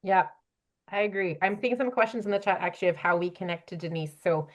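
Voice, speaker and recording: A woman's voice: fairly low pitch, fast talker, dull audio. A woman hosting a panel discussion in a conversational, agreeable way. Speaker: panel host.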